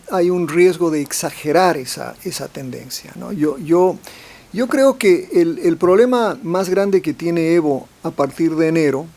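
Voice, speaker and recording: Hesitant professor with a high voice. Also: male voice, a little mellow. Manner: hesitant, repeats words, adds tag questions. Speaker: panelist, professor. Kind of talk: forum talk on politics.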